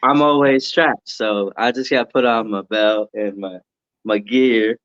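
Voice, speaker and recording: A man's voice: lowish voice, fairly even intonation, unhurried, fairly bright tone, clear, resonant tone, dry recording, lo-fi sound. A man from the US East Coast, a podcast guest, chatting casually and laughing easily with many fillers. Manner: laid-back, laughs easily, lots of fillers. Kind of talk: casual interview chat.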